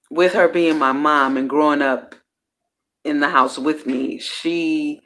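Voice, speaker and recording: A woman's voice: a bass voice, creaky, a bit bright. A woman hosting her own personal growth series, talking casually and candidly. She uses slang, swears freely, and often trails off and restarts her sentences. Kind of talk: casual reflective monologue.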